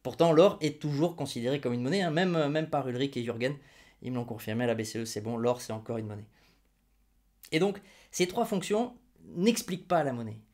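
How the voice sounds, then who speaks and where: A man's voice: medium pitch, animated, a little fast, darkish tone. A man hosting his own video, explaining money with ironic jabs and rhetorical questions put straight to the viewer. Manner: ironic and mocking, then patiently didactic. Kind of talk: opinion explainer to camera.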